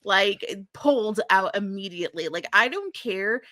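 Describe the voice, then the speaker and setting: A woman speaks in a bright, forward voice with a very dry, close sound. She sounds informal and opinionated, like a podcast host chatting through a TV recap. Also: mid-range voice, animated, unhurried, dry recording. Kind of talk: casual TV recap chat.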